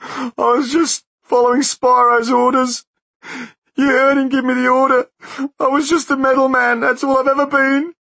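A man voicing an adult male hired killer who sneers, then breaks into shrill panic. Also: expressive, a little slow, pushed and bright, husky, heavily acted. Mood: sobbing panic.

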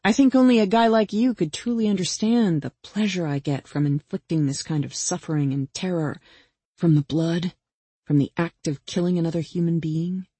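A woman's voice: on the low side, moderate pace, mellow, husky, dry recording. A woman voicing a vengeful young female killer in an audiobook, taunting and mock-patient as she puts pointed questions. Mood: gloating, relishing. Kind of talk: dramatic audiobook character dialogue.

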